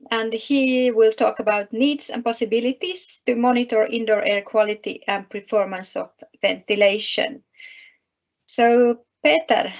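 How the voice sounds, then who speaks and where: A woman's voice: mid-range voice, gravelly. A woman from Finland with a Finnish accent, politely and formally introducing speakers as moderator of an online webinar. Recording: dull, lo-fi webinar audio.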